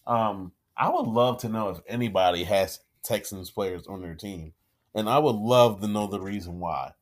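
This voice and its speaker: Calm, soft-spoken young man, lower voice. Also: normal speed. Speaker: podcast co-host. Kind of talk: casual fantasy football chat.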